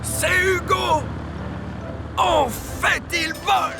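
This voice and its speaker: Un homme qui double une locomotive à vapeur excitable et ébahie, au ton exclamatif, dans un dessin animé en français. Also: male voice, extremely high pitch, creaky, loud music behind the voice, exaggerated voice. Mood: amazed. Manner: exclamatory, drawn-out. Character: excitable, astonished male steam engine. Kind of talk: French-dubbed children's cartoon.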